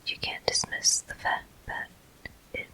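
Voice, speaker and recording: A person whispering soothing, unhurried ASMR game commentary in a breathy voice, close to the microphone. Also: low-quality recording. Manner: soothing, unhurried, softly encouraging. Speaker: game streamer.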